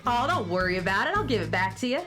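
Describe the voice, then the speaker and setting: A confident cowgirl who bosses a space ranch, played by a woman in a children's radio play, at a middling pitch over light music. She is folksy and kindly, with a teasing streak and plenty of cowboy slang. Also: very animated, quick pace, natural voice. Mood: breezy, boastful. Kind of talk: children's radio drama acting.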